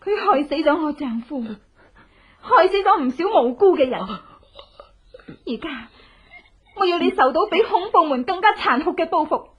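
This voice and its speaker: An actress playing a cruel, gloating widow out for revenge in a Cantonese radio horror drama, with a theatrical, taunting delivery and a Hong Kong accent. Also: female voice, very high voice, expressive, unhurried, pauses often, dull audio. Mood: vengeful, accusing. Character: vengeful widow, cruel and gloating, torturing her husband's killer.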